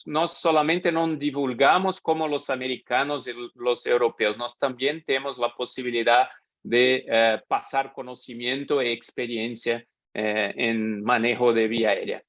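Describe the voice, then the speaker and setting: A man's voice: mid-range voice, steady tone, fairly bright tone, no echo. A man from Brazil with a Brazilian Portuguese accent, a native Portuguese speaker, thanking his hosts as guest speaker at the end of a webinar, hesitating often and mixing in Portuguese. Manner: halting, many hesitations, mixes Portuguese into Spanish. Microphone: video call. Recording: dull, dry video call audio.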